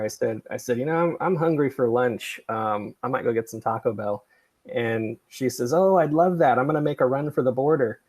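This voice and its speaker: Gravelly, husky man chatting casually. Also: mid-range voice. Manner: rambling, digressive, self-effacing. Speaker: podcast creator and livestream host. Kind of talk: casual livestream chat.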